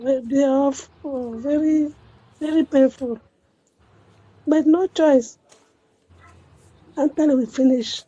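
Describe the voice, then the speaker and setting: A woman caller speaks in short, halting phrases during a live-stream discussion. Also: high voice, warm tone, no echo. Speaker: guest caller. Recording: muffled lo-fi line.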